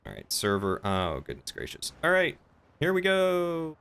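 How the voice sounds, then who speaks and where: A man's voice: a little high, huge pitch swings, a little slow, fairly bright tone, clean tone. A man streaming a game, chatting casually and improvising roleplay in a polite, hesitant way.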